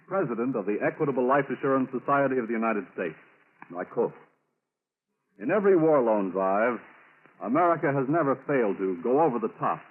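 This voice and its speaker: A man reading scripted announcements in a formal, measured, emphatic 1940s radio style. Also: medium pitch. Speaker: radio announcer and sponsor spokesman. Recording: narrow-band 1940s radio recording.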